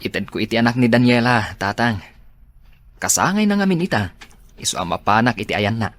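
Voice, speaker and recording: A man's voice: fairly low pitch, animated, brisk, fairly bright tone. Earnest young-sounding Ilocano man in a radio drama, acted. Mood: calm, earnest. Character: protective, exasperated man, godfather-like friend to his ex.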